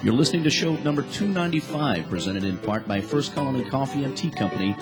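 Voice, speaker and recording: A man reads scripted radio announcements at a medium pitch. Loud plucked guitar music runs behind his sponsor copy. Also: animated, quick pace.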